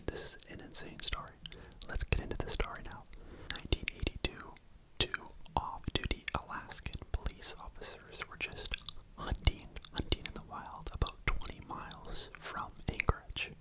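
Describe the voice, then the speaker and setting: A host telling true crime stories in a breathy, unvoiced ASMR whisper, informal and conversational, close to the microphone. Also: rough recording. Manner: informal, conversational, hushed narration.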